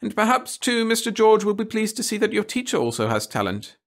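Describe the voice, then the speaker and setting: A genteel older schoolteacher, played by a man in an audiobook story. She is prim and gushing, pitched well up, with theatrical pretensions. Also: very animated, fairly quick, gravelly, exaggerated voice. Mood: prim, proud.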